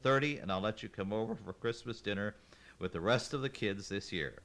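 A man's voice: fairly low pitch, a little fast, fairly bright tone. A man voicing an elderly grandmother who is dramatic, tearful and manipulative, pleading and bargaining in a storytelling reading.

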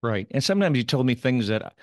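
A man answering interview questions about his late father, speaking in a measured, thoughtful way with occasional pauses. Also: medium pitch, animated, dry recording, studio quality. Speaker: interview guest, son of the subject.

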